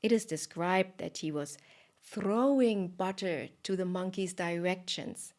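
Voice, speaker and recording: Slow, expressive woman storyteller. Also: lowish voice. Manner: expressive, with fillers and dramatic pauses. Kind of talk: live storytelling for listeners.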